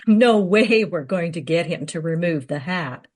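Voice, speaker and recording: Woman playing a low-voiced, exasperated crew member. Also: animated, unhurried, a little mellow. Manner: grumbling, wry. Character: dry, exasperated female makeup artist on film crew. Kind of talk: radio play acting.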